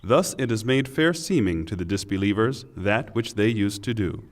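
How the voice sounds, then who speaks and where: A man narrating a scripted scripture translation in a measured, formal and solemn manner. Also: lowish voice, expressive. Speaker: narrator reading Quran translation.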